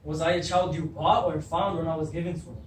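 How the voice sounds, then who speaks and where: A man's voice: a little high, steady tone, quick pace, natural voice. A man acting a proud, troubled king in an amateur stage reading, declaiming and commanding. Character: proud, troubled king demanding the truth of his birth. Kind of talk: beginning drama class readers theatre. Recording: echoey room, distant mic, faint noise.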